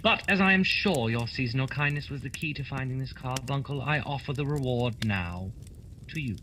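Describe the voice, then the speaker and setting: Man acting a theatrical detective, declamatory, dry-witted, forceful tone, animated, radio drama. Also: medium pitch, normal speed, slight background noise. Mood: dry, grand. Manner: formal, declamatory. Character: shrewd, theatrical male detective, dryly explaining.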